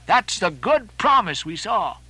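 A man voicing a hearty, blustering sailor in an audiobook, loud and emphatic. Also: sits high, sing-song, a little slow, breathy edge, voice pushed up, full character voice, poor audio. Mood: stubborn optimism. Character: hearty, blustering, superstitiously cheerful sailor, second mate. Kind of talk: audiobook character acting.

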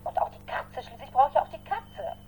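An effusive, chatty friend leaving a message in a radio play. Her voice is highish and animated but mellow, over a faint hum. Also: a little fast. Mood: bubbly, pleading. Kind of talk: radio drama acting.

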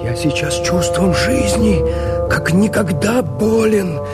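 A weary rebel clinging to life in a radio verse drama. His high voice draws out each phrase slowly, impassioned and yearning, under very loud music. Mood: fervent yearning. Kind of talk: theatrical verse declamation in a radio play.

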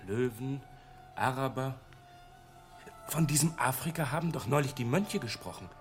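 A man acts a young novice monk in a radio drama. He is earnest and naive, speaking in a medium-pitched, wondering, tentative way over faint music. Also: animated, normal speed, close to an everyday voice. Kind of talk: German radio drama acting.

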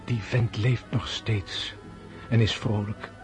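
An actor in a Dutch radio play voicing a disillusioned, brooding military officer, intense and bitter, with halting, reflective pauses. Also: male voice, on the low side, flat delivery, over music. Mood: grieving, wistful. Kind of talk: radio drama acting.

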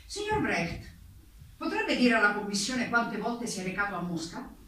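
A woman's voice: medium pitch, sing-song, normal speed, sharp tone, slight background noise. Probing political interrogator played by a woman, precise and formal, stage-drama delivery from afar in a very reverberant space. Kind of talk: theatrical interrogation. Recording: very echoey room, distant mic.

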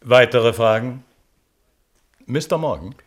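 A man playing a courteous, searching chairman of an inquiry in a German radio play, formal and deliberate. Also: medium pitch, lively intonation. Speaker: chairman of the hearing.

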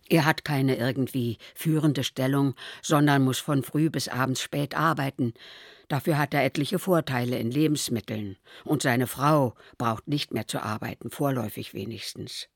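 A woman reading as an elderly mother keeping a wartime diary, calm and measured, in a documentary. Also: sits low, dry recording, clean studio sound.

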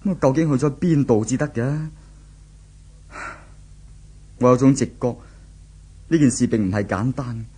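A male actor plays an anguished young man in an old Hong Kong radio serial. His voice is husky and medium in pitch, with a Hong Kong Cantonese accent. He speaks with the brooding, theatrical weight of a lovesick boyfriend. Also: a little fast, dark tone, natural voice. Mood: gloomy, troubled. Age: young adult. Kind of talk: 1970s radio drama acting.

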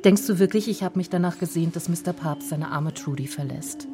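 An actress playing a self-assured, provocative film star in a German radio drama, teasing and cool, sometimes near a whisper. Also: female voice, on the low side, brisk, close to an everyday voice. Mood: worldly, defiant.

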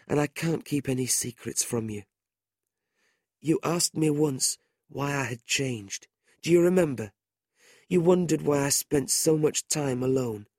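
A man voicing a frail, dying young boy who speaks in a high, pleading, soft voice. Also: husky, no room sound, studio quality.

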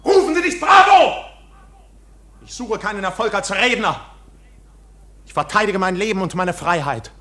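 Impassioned accused clerk, swooping intense voice. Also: male voice, sits high, animated, normal speed, long pauses, pushed and bright, slight background noise. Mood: impassioned protest. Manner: impassioned, declamatory. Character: defiant, agitated accused bank officer on trial. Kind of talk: German radio drama acting.

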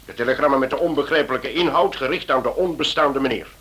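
An actor playing an authoritative, businesslike senior police official in a radio drama, speaking in formal, deliberate phrases. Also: male voice, medium pitch, fairly bright tone, lightly acted. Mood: formal, puzzled. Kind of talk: Dutch-language radio drama acting. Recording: noisy old radio drama recording.

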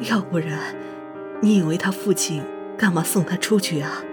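A woman playing a hesitant, regretful middle-aged mother in an audio drama, gentle and halting. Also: on the low side, music in the background, lightly acted. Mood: quietly regretful.

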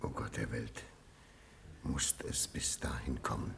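Male gruff military loyalist: very deep, hard-edged voice, declamatory, classical verse radio play. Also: steady tone, measured pace, in a whisper, exaggerated voice. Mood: anguished. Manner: forceful, declamatory. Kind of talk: classic German stage verse radio drama.

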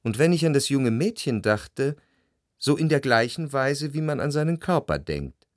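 A reflective, somewhat troubled gentleman played by a male actor narrates a literary radio play. His medium-pitched voice is measured but moves widely in pitch as he looks inward. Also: very animated, no room sound, studio quality, lightly acted. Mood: brooding. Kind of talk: literary first-person radio drama narration.

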